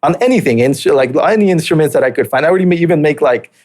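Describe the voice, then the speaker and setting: A man's voice: on the high side, animated, very fast, a little mellow. A man in his late twenties, born in Mexico, telling his life story as a podcast guest in a laid-back, hesitant way, with many fillers and restarts. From Mexico, raised in southern California and Utah. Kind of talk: casual interview storytelling.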